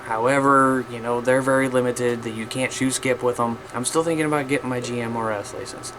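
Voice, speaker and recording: A man's voice: mid-range voice, a little fast. An American man chatting casually and unscripted to his viewers, rambling in a folksy way and repeating himself. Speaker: amateur radio hobby vlogger. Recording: clear steady background noise.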